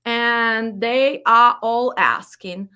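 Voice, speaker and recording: A woman's voice: a little high, drawn-out words, sharp tone, clear, resonant tone. A woman with a Ukrainian accent giving a blunt, emphatic instructional talk as a freight dispatch trainer, repeating her instructions and speaking slightly broken English. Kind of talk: direct-to-camera instructional talk.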